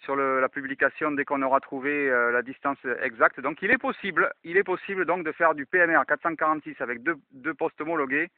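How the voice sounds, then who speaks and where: A man's voice: on the high side, fairly quick, hardly any pauses, no echo. A man casually presenting a radio demonstration as an enthusiast, mixing explanations to viewers with radio call-outs. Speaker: amateur radio enthusiast and video host. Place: outdoors in open countryside. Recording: thin, phone-like band-limited sound.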